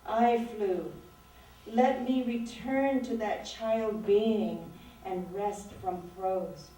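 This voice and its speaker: A woman in her sixties, an author reading her poetry aloud and talking about it in a slow, measured, reflective way. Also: mid-range voice, dark tone. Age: 60s. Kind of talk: poetry reading with talk between poems. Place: lecture room at a literary event. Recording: very echoey room, voice distant from mic.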